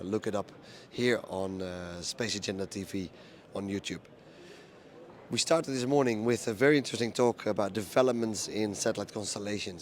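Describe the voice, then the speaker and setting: A man with a low, hoarse voice talks through a conference recap for his video channel. He speaks plainly and steadily, with frequent ums and uhs. The rasp from a lost voice stays through every line. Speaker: event reporter recapping a conference. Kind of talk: casual spoken recap to camera.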